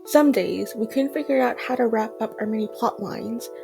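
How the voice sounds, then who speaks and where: A woman's voice: a little high, moderate pace, vocal fry, warm tone. A young woman reading scripted narration as the student narrator of an audio drama. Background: music behind the voice.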